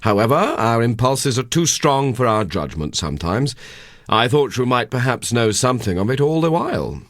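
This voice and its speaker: Man as an elderly, bookish country parson, pitched up. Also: sing-song, a bit bright, dry recording, clean studio sound. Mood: dry, dismissive. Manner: precise, bookish, measured. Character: elderly learned country parson, dry and condescending.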